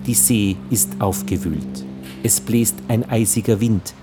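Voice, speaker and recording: A man's voice: lowish voice, unhurried, over music, natural voice. A man playing a grave announcer of perilous seas in scripted dramatic narration. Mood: grave, ominous.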